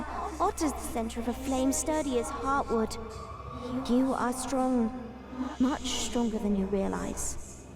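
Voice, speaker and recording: A woman's voice: high voice, creaky. A woman playing a wise, formal seed spirit, speaking in a solemn, oracular way in an audio drama. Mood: reassuring, solemn. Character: wise, solemn female magical seed spirit, ancient yet newborn. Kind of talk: fan audio drama acting.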